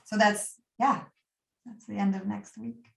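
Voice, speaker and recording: A woman leading a guided meditation as a yoga nidra teacher, speaking soothingly and gently in short, unscripted phrases with pauses between them. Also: mid-range voice, steady tone, creaky, reverberant, distant mic.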